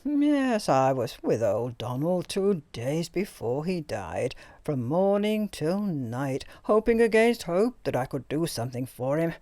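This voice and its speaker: A woman's voice: sits low, big pitch swings, a little slow, few pauses, no room sound. A woman voicing a talkative, fussy elderly male doctor in an audiobook reading. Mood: jovial. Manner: loud, talkative, jovial.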